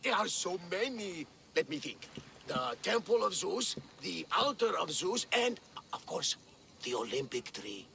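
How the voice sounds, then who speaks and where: Devout older Greek game character, theatrical, bright voice, swooping intonation, gravelly. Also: male voice, high-pitched, sing-song, unhurried, slight background noise, full character voice. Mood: awed, eager. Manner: theatrical, reverent. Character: excitable, devout older Greek man, awestruck companion. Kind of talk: video game dialogue acting.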